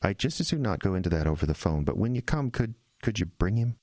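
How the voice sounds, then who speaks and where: An actor playing an earnest, anxious young male pastor in an audio drama, speaking in a measured, tense manner. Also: sits low, big pitch swings, darkish tone, no echo, clean studio sound. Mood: shaken, hesitant. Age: young adult.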